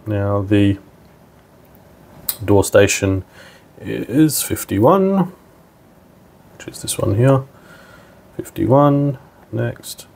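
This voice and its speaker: A man's voice: fairly low pitch, lively intonation, very slow, creaky, pauses often, darkish tone. A man narrating a hands-on product tutorial in an informal, step-by-step way. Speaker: product demonstrator.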